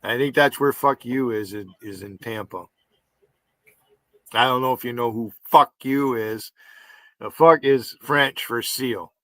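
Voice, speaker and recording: A man's voice: mid-range voice, unhurried, a bit bright, dry recording. Blunt rambling northern New York man. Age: 50s. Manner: blunt, rambling, swears freely. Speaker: livestream host. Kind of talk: casual chat.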